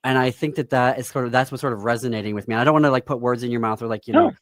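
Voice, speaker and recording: A man's voice: medium pitch, steady tone, racing, dry recording. A man from the United States hosting a conversational interview, reflective and emphatic in his phrasing. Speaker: radio show host.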